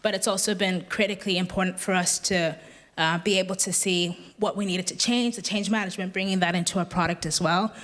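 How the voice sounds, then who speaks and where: A woman's voice: fairly low pitch, fairly even intonation, fairly quick, a bit bright. A woman presenting at a business event, explaining things in a conversational way. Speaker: presenter from a software company's customer support side.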